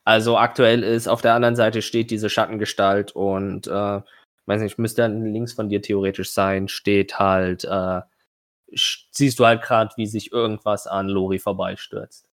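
A man's voice: fairly low pitch, little pitch movement, measured pace, no echo, studio quality. A man running a tabletop game, improvising narration and rulings, often searching for his words. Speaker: game master.

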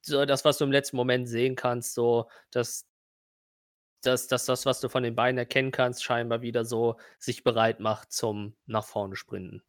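Mann als stockend beschreibender Spielleiter. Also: male voice, medium pitch, little pitch movement, dry recording, clean studio sound. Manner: halting, descriptive narration addressed to the player. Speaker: game master. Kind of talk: narrating a tabletop role-playing game.

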